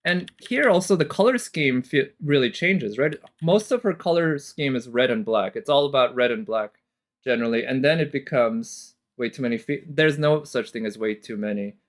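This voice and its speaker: A man's voice: mid-range voice, normal speed. A man giving casual, off-the-cuff reaction commentary as a streamer, thinking aloud analytically with casual swearing.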